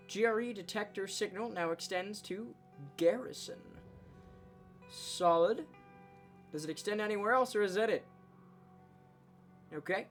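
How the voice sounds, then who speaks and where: A man giving casual let's play commentary in an offhand, dryly wry way. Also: high voice. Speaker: gameplay video creator. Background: game music.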